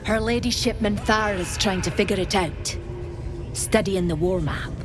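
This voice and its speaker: A woman's voice: medium pitch, normal speed, over music, natural voice. A woman voicing a boastful, scornful fighter in a fantasy video game. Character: tough, boastful female raider spoiling for a fight.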